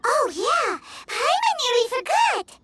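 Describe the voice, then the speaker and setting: A woman voicing a tiny, childlike fairy companion in a video game, squeaky and earnest. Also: sing-song, slow pace, full character voice. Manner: squeaky, childlike, speaks of self in third person. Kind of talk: English video game voice acting. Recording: studio voice under loud game music.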